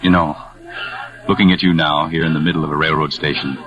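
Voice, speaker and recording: A man's voice: very deep voice, fairly even intonation, quick pace. A man playing an earnest, affectionate young soldier in 1940s radio drama style. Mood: earnest, tender. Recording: dull vintage radio broadcast.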